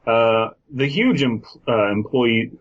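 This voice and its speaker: A man's voice: mid-range voice, slow, dull audio. A man hosting a comedy podcast, chatting casually and riffing with profane humour. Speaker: podcast host.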